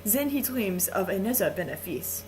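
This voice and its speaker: A woman voicing a vain, flirtatious adult Frenchman who reads verse aloud in a slow, suggestive way. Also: medium pitch, expressive, darkish tone, a little hiss or hum, natural voice, low-quality recording. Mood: sultry. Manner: slow, lingering, suggestive delivery with chuckles. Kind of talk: playful dramatic reading of verse.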